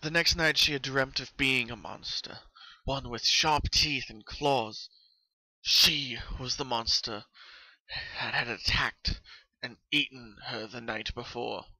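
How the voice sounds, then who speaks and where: A man's voice: medium pitch, pushed and bright, no room sound, rough recording. A man narrating a horror story as a solemn, dramatic reading.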